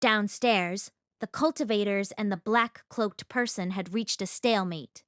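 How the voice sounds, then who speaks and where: A woman narrating a story aloud in an expressive read-along style. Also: mid-range voice, unhurried, no room sound, studio quality. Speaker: audiobook read-along host.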